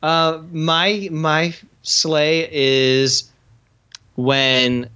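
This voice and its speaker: A man's voice: fairly high pitch, fairly even intonation, extremely slow, sharp tone, smooth, dull audio. A man co-hosting a podcast, chatting casually and jokily about a TV show and acting out little stories. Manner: chatty, jokey storyteller acting out quotes. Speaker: podcast co-host. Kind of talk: casual chat reviewing a TV episode.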